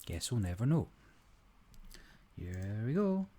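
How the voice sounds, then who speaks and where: A man hosting a gaming channel, talking through a card game in a relaxed, rambling way, his voice a little croaky. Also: lowish voice, takes it slowly. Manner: relaxed, rambling, many fillers. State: slightly croaky voice. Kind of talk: casual gameplay commentary.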